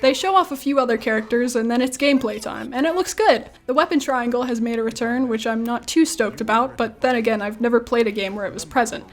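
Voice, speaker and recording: A woman hosting a scripted video commentary on games, with wry, self-deprecating asides. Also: fairly high pitch. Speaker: video essayist, YouTube host.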